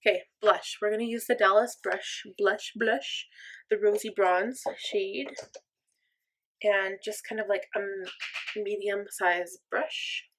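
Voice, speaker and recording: A woman's voice: medium pitch, steady tone, slow, a bit bright, a little hiss or hum, rough recording. A young woman chatting casually while doing her makeup, rambling and self-deprecating, full of "like". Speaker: makeup vlogger.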